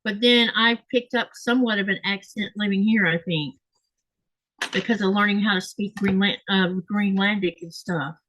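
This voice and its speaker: A woman's voice: mid-range voice, fairly even intonation, normal speed, fairly bright tone, no room sound, poor audio. A woman with a Southern US accent, the host, chatting casually while she sews. She rambles, corrects herself and uses lots of fillers. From Florida, US. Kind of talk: casual chat while sewing, reading comments. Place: home craft room.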